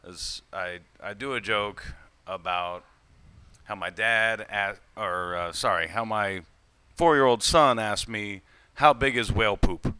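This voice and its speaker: A man with a lowish voice that still sounds bright tells a story on a comedy panel. He pauses and restarts often, correcting himself mid-sentence. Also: a little hiss or hum. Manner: hesitant, self-correcting storyteller. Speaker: stand-up comedian. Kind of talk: casual panel chat.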